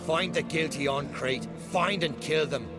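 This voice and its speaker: An actor playing an ominous, wrathful man pronouncing a curse in a video game, with a creaky voice. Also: a little high, unhurried, lightly acted. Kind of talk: dramatic video game acting. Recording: loud music behind the voice.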